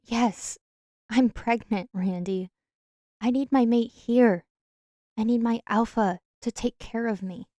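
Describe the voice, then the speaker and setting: A woman's voice: on the high side, mellow, dry recording, studio quality. A woman playing a needy, emotional young human man in love, pleading in an amateur audio romance drama. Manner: slow, pleading, emotional delivery.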